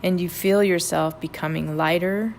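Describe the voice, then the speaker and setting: A woman narrating a guided meditation in a gentle, measured, instructional manner. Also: on the low side, fairly even intonation, gravelly, a little hiss or hum.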